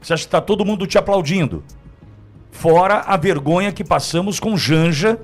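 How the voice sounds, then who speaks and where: Sarcastic Brazilian male commentator, animated pitch. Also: normal speed, soft background music. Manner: sarcastic, mocking, rhetorical questions. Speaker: political talk show host. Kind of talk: opinionated political commentary, conversational.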